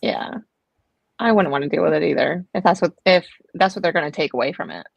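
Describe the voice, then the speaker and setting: A middle-aged mother chatting easily as a guest on a sewing stream, relaxed and agreeable. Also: lowish voice, fairly quick, gravelly, breathy edge, dry recording, highs cut off. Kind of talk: casual chat.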